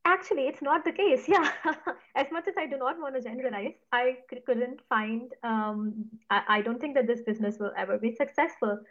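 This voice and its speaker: Punjabi-native woman guest, fairly high voice, weighs each point with care; muffled recording. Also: a bit bright. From Punjab, India. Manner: thoughtful, measured, careful hedging. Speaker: guest, writer on mosses. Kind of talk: interview.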